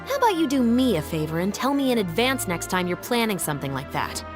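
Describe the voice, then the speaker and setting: A woman's voice: medium pitch, sing-song, fast talker, close to an everyday voice. A woman voicing a stern, dutiful female patrol captain with a firm, official and dryly wry manner, in game voice acting. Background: game music.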